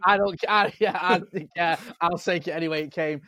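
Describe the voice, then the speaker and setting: A man with a Manchester accent tells football stories at a quick, tumbling pace. His voice sits a little high and grows animated as he recounts matches and acts out the fans he met. The recording is dry and close, with almost no room sound. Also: no echo. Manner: animated storyteller, jumps into mimicry of fans. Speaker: podcast panelist, former local radio reporter. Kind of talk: casual football podcast chat.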